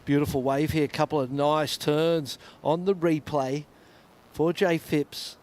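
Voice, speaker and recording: A man's voice: on the high side, fairly even intonation, a little slow, a little mellow. A man calling a surfing contest live, chatty and reflective between the waves. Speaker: surf contest commentator. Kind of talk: live sports commentary.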